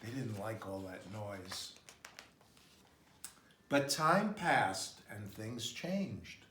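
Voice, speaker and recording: A man reading a children's picture book aloud in an expressive, unhurried storytelling style. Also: on the low side, slight background noise. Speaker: storybook reader. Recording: roomy, echoing sound.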